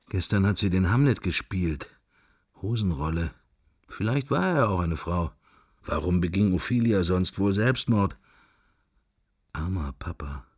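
Musing man, deep voice, fragmentary inner monologue, wry, melancholy, dry studio sound, radio drama. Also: a little mellow, breathy edge, no room sound. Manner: murmured, fragmentary, introspective. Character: musing middle-aged man's wandering inner thoughts, wry, melancholy.

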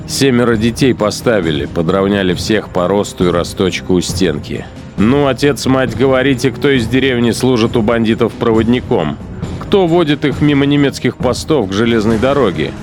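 Low-voiced, sneering military commander played by a man. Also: natural voice. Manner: coldly musing, sneering, coarse-mouthed. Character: cynical, menacing male commander of a punitive battalion. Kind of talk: radio drama monologue. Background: music behind the voice.

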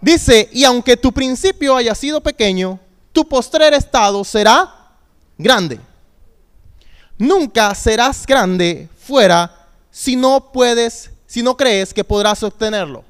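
Male youth pastor, thirties, high smooth voice, preaching with repetition and call-and-response. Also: expressive, slow pace. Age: 30s. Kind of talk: sermon.

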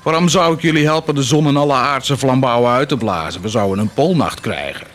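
An actor playing a cruel, blasphemous warlord in a radio drama, mocking and grandiose, declaiming sardonically with dramatic pauses. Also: male voice, mid-range voice, fairly bright tone, a little hiss or hum, natural voice. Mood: defiant, grandiose. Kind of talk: theatrical radio drama acting.

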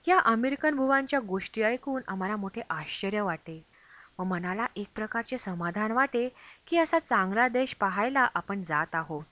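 A woman's voice: mid-range voice, animated, normal speed, bright, forceful tone, dry recording. A woman reading a travel memoir aloud as scripted audiobook narration. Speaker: audiobook reader.

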